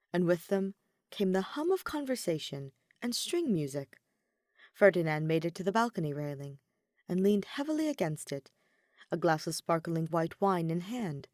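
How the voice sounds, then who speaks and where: A woman narrating a story in an expressive audiobook style. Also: fairly low pitch, no echo, studio quality. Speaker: podfic reader, narrator.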